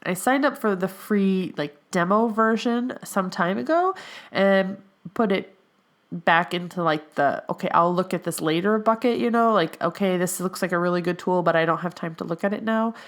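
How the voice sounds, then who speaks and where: A woman's voice: lowish voice, normal speed. A young adult woman hosting her own podcast, whose voice sounds a bit nasal from allergies, talking casually with many fillers. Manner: chatty, with frequent fillers. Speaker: podcast host, health coach in training. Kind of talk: casual solo podcast monologue.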